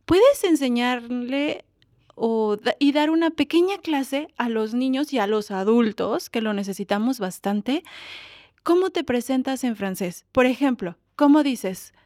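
A woman's voice: on the high side, animated, a little slow, a little mellow, dry recording, clean studio sound. A woman hosting a community radio show, patiently coaxing a young guest through a short lesson. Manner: patient, coaxing, prompts a child step by step. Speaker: radio host. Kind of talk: community radio presenting and interviewing.

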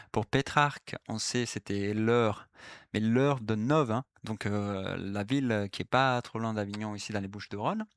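Slow, halting man with an Italian accent. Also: lowish voice, fairly bright tone, smooth, no echo, clean studio sound. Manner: hesitant, many filler sounds and restarts; apologetic, polite. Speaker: presenter giving a class talk. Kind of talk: explanatory talk on literary history.

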